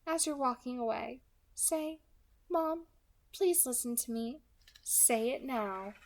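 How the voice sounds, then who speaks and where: A woman voicing a polite, menacing female kidnapper with a soft, pleasant alto voice, light and friendly in tone. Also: high voice, takes it slowly, a little hiss or hum, pitched above the performer's own voice. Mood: coolly menacing. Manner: light, friendly, quietly threatening.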